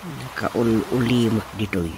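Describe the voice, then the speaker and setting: A man with a Filipino Ilocano accent playing an eager, talkative, comically plaintive rustic young man in a radio drama, with drawn-out exclamations. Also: medium pitch, background noise, lightly acted. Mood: musing. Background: music.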